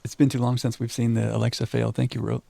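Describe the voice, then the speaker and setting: Mellow, creaky-voiced male game streamer. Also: mid-range voice, monotone, fast. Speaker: livestream game host. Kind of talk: casual chat with viewers, reading game text aloud.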